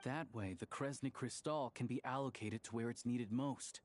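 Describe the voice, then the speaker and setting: A man voicing a level-headed, matter-of-fact activist comrade in a video game, with a measured, conversational delivery. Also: mid-range voice, fairly even intonation, slight background noise, close to an everyday voice. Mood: calm, dry. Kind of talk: scripted game voice acting.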